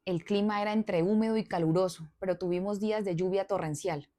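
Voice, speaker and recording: A woman storyteller reading a follower's submitted story aloud, expressive and breaking off for chatty asides to her followers. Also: medium pitch, brisk.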